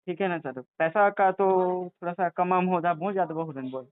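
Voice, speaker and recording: A man's voice: high-pitched, fairly quick, clean tone, no room sound. A young man in a phone conversation, negotiating in a hesitant, deferential and pleading manner. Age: young adult. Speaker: job applicant on a phone call. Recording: tinny, narrowband phone call recording.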